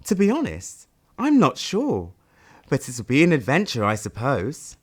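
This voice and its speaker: A man's voice: medium pitch, huge pitch swings, a little slow, husky, heavily acted. A man voicing a kind, mopey little hero in a children's storybook. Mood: unsure.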